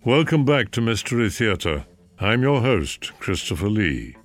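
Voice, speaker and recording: An older man hosting a mystery radio show with a measured, deliberate delivery. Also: lowish voice, very animated, soft background music. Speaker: host. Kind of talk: scripted introduction.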